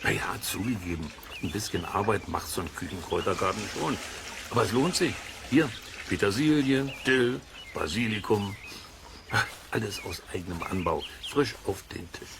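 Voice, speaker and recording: Curious gardener, gravelly edge, conversational and hesitant, children's radio play, noisy cassette recording. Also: male voice, fairly low pitch, animated, normal speed. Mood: contented. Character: easygoing, curious male gardener living in a trailer.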